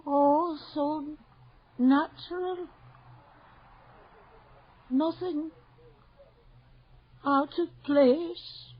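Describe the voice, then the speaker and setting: A woman's voice: high voice, very slow, long pauses. An actress in a radio drama playing an older, anguished mother, pleading breathlessly and desperate for her dead son. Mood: bitter grief. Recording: muffled, noisy 1980 radio drama recording.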